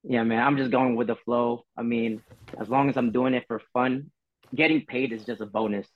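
A man's voice: mid-range voice, fairly even intonation, moderate pace. A laid-back man in his twenties, chatting casually as a podcast guest, rambling with lots of fillers. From Philippines. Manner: easygoing, rambling, many fillers. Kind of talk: casual chat. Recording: dull dry sound, faint music.

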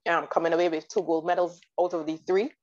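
Woman, from Barbados, enthusiastic, lower pitch, dull recording. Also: little pitch movement, fast talker, a little mellow. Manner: enthusiastic, detailed, laughs often. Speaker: guest commentator. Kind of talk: casual sports chat. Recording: dull lo-fi audio.

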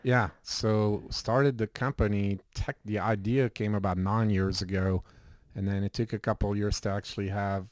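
A middle-aged man from Switzerland speaks as an interview guest with a low, level voice that barely rises or falls. He talks in a relaxed, rambling way, full of fillers. The recording is dry and close. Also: moderate pace, no echo. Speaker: guest, health shop owner. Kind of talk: casual interview talk.

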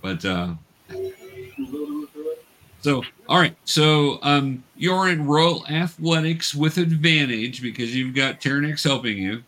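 A man's voice: fairly high pitch, expressive, takes it slowly, fairly bright tone. A man running a tabletop game as game master, coaching young players in a casual, patient way with hesitant explanations.

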